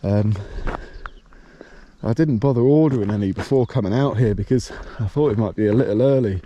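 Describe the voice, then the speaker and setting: A man's voice: lowish voice, brisk, highs cut off, a little hiss or hum. Bunged-up man vlogging outdoors by a lake. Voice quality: slightly nasal, bunged up. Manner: relaxed, explanatory, thinking aloud. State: blocked nose. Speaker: fishing vlogger. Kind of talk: casual outdoor vlog narration. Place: outdoors by a large dam.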